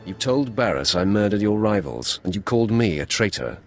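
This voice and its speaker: Very deep, ruthless Sith warrior. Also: male voice, big pitch swings, fairly quick, faint music behind. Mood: defiant. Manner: curt, threatening. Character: ruthless young male Sith warrior apprentice, proud and wrathful. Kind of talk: cinematic game voice acting.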